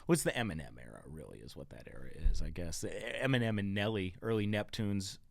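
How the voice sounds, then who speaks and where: Low-voiced man hosting a podcast. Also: vocal fry, dry recording. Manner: excited, reminiscing, quick to jump in. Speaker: podcast host. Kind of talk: casual interview chat.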